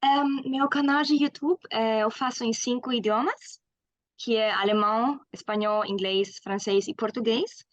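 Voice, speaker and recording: A woman's voice: fairly high pitch, fairly even intonation, a little slow, dry recording, lo-fi sound. A German woman in her twenties, a guest in an interview, speaking Portuguese with a German accent, hesitant with many fillers and frequent laughs. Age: 20s. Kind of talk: casual interview.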